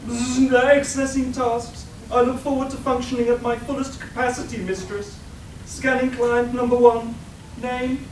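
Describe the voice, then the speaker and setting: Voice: mid-range voice, level tone, unhurried, a little mellow, close to an everyday voice. A performer voicing a haughty, theatrical robot tailor with French fashion airs in a comic radio play, pompous and affected. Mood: snooty, appraising. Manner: pompous, dramatic, sprinkled with French phrases. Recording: roomy distant live recording with background noise.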